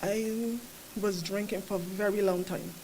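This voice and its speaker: Soft-spoken Caribbean woman. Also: medium pitch, steady tone, unhurried, slight background noise, poor audio. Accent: Caribbean English. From Barbados, raised in Saint Lucia. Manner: hesitant, soft-spoken, plain storytelling. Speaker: former client sharing recovery story. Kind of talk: personal testimony. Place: conference room at a press event. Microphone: podium mic on a stand.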